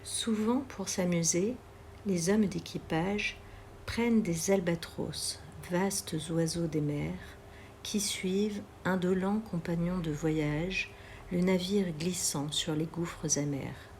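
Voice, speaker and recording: A woman reads literary poetry aloud in a measured, deliberate way, pausing between phrases. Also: lowish voice, a little mellow, a little hiss or hum. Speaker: poetry reader.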